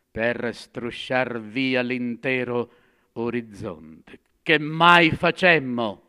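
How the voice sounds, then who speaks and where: A man voicing a frantic, grieving madman who swings from shouting to hushed murmurs, in a dramatic reading. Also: mid-range voice, clean tone, exaggerated voice. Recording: long hall reverb.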